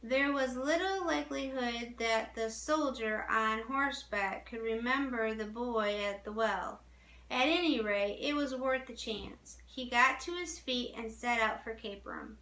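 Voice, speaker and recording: A woman reading a story aloud as narration, deliberately, with small stumbles over the text, in a roomy, echoing recording. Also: on the high side, pushed and bright.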